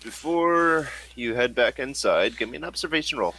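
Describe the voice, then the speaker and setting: A man narrating a tabletop role-playing game as game master in a casual, halting way. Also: mid-range voice, expressive, pushed and bright, breathy edge, low-quality recording.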